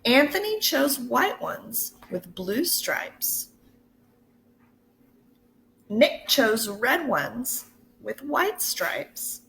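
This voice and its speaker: A woman teacher reading a picture book aloud to children in an expressive, deliberate way, pausing to put questions to her listeners. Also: a little high, gravelly, a little hiss or hum, low-quality recording. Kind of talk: storybook read-aloud.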